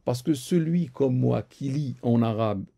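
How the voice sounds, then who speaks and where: Man, religious Q&A host, hesitant with fillers, dark mellow tone, gravelly. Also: mid-range voice, a little slow. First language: Arabic. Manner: hesitant, many fillers and repeated words. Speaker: imam answering questions. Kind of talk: live question-and-answer session. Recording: live stream recording.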